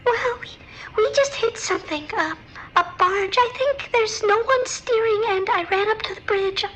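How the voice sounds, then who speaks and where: A very high, shaky woman's voice, an actress playing a frightened passenger on an emergency call. She sounds hesitant and alarmed over faint background ambience, in audio drama style. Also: normal speed, fairly bright tone, slight background noise, poor audio. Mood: shaken. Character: frightened, stranded female cruise ship passenger calling emergency dispatch.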